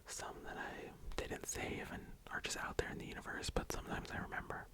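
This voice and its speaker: A young adult ASMR host speaking and reading poetry in a breathy, gentle whisper, lingering on the words. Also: fairly quick.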